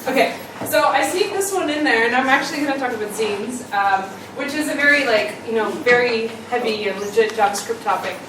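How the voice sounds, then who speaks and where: A woman's voice: on the high side, expressive, fairly quick. A young woman giving a casual, self-deprecating meetup talk, full of ums and little laughs. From Ukraine, raised in Canada. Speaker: meetup speaker. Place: meetup room, echoey hall. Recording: echoey room, distant mic, noisy.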